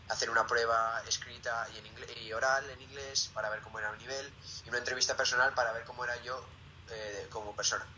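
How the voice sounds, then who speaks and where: A teenage male student with a Peninsular Spanish accent and a very bright, sharp voice. He answers questions in a casual, conversational way. There is some faint noise behind him. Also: medium pitch, muffled recording. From Zaragoza, Spain. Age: late teens. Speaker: interviewee, student.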